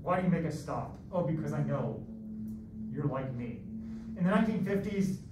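A man's voice: on the high side, normal speed. Echoey, far-off American man teaching. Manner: animated, self-deprecating, digressive, theatrical. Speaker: college math teacher. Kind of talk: classroom lecture with rambling asides. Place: classroom, masked, in front of students. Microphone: far camera at back of room. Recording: roomy echoey classroom, distant mic, background noise.

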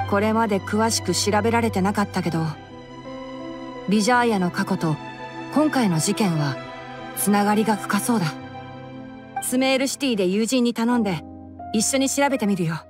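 A woman voicing a calm, clever young man of scholarly temper in a Japanese game dub, composed and reasoned. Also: medium pitch, lightly acted. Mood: thoughtful. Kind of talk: Japanese anime game dubbing. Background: background music.

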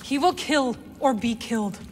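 High-voiced, resolute young witch played by an actress. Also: normal speed, a little hiss or hum, natural voice. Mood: grim resolve. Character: resolute, guarded young woman, a Force-wielding witch ally. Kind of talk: cinematic video game acting. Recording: music behind the voice.

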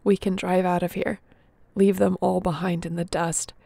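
A woman's voice: fairly low pitch, level tone, moderate pace, vocal fry, mellow, husky, no room sound. A woman playing a resilient young apocalypse survivor in an audio roleplay, speaking intimately and earnestly with a wry edge. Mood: determined, planning. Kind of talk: dramatic audio roleplay addressed to the listener.

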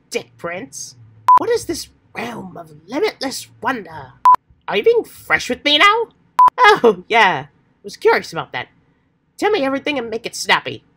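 A woman voicing an earnest, excitable, old-fashioned adventurous teenage boy with hammy, folksy slang, while getting over a cold. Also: high voice, pitched above the performer's own voice. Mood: puzzled, then excited. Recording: faint background noise.

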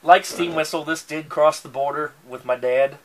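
A man's voice: on the high side, fairly even intonation, normal speed, a crowd in the background. A man in his thirties co-hosting a beer review at a home bar, laid-back with crude jokes. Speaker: beer review show co-host. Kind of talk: casual chat. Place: basement bar, indoors.

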